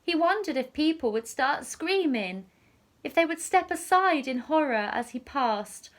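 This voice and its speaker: Slow, high-voiced woman reading a storybook. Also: animated. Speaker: primary school teacher reading a story to children. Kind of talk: storybook read-aloud for young children.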